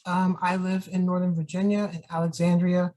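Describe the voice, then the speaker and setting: A woman from Queens, New York, with a New York accent, chatting and reading her poetry gently. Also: on the low side, level tone, normal speed. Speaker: poet.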